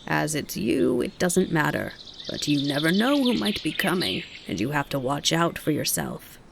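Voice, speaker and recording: Woman doing a raspy, grumpy grasshopper. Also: deep voice, very animated, moderate pace, noisy background, exaggerated voice. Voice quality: rasping. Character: cross, grumbling grasshopper man with a rasping voice.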